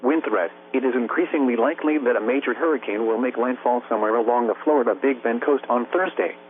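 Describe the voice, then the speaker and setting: A man's synthetic computer voice reading a scripted weather bulletin as a radio announcer, formal and measured. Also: mid-range voice. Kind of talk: scripted weather bulletin read by text-to-speech. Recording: noisy narrowband weather radio broadcast, humming. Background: radio hum and static.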